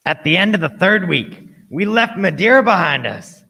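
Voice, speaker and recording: A man's voice: high-pitched, expressive, normal speed, creaky, pushed and bright, breathy edge, exaggerated voice. A man playing a cabin boy who narrates a sea adventure in a radio play, in scripted storytelling style.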